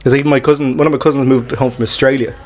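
Dublin guy hosting a chat show, quick chatty talker, mid pitch. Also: steady tone, darkish tone. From Dublin, Ireland. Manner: rapid, chatty storytelling. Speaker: podcast host. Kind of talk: casual chat.

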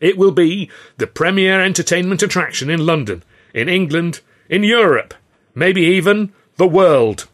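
A man's voice: high voice, lively intonation, moderate pace, fairly bright tone, voice pushed up. A man voicing a smug, domineering showman boss with pompous, theatrical speechifying, in an audiobook. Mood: grandiose.

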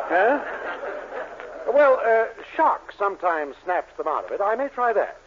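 Scheming, greedy shopkeeper. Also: male voice, high voice, big pitch swings, slow pace, noisy background, full character voice. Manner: fussy, insistent, sly. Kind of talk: 1940s radio sitcom acting. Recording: dull 1940s radio broadcast.

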